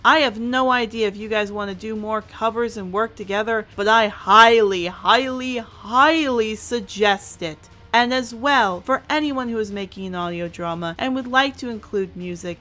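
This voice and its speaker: A woman's voice: on the high side, fairly bright tone. Earnest woman reviewing over background music. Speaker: host and reviewer. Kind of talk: scripted review narration.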